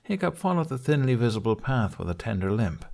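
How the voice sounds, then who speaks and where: A man's voice: medium pitch, sing-song, a little fast, creaky, darkish tone. A man reading a scripted story aloud as an audiobook narrator. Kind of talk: scripted narration of fan fiction.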